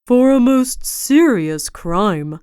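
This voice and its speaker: A glum castle soldier delivers each line slowly and solemnly, as a woman reading a storybook puts him on. He speaks firm and loud. The sound is crisp and dry. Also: fairly high pitch, lively intonation, no echo. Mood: gloomy. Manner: gloomy, slow, solemn. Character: gloomy stern male castle soldier.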